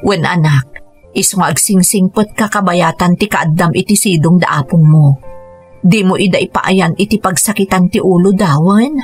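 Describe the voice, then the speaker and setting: A woman's voice: on the low side, normal speed, breathy edge, soft background music. A woman playing an earnest, self-sacrificing young mother who speaks pleadingly in a radio drama. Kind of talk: Ilocano radio drama acting.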